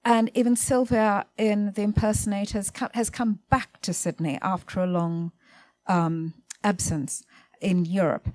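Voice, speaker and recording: A woman speaking on a literary panel, thinking aloud in a halting, self-correcting way. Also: medium pitch, fairly even intonation, darkish tone, no echo.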